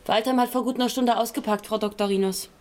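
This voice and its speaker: An actress playing a brusque, easily flustered police officer in a radio crime drama. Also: female voice, on the high side, steady tone, fast talker, darkish tone, natural voice. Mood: official, brisk. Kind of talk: German radio drama acting.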